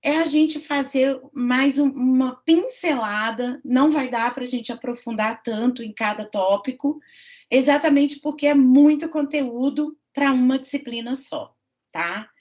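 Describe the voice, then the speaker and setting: A woman's voice: high voice, unhurried, a little mellow. Woman with a Minas Gerais accent lecturing. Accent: Minas Gerais Portuguese. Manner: friendly, fillers and tag questions. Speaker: university professor. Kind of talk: online lecture. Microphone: computer mic on a video call. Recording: dull lo-fi video call audio.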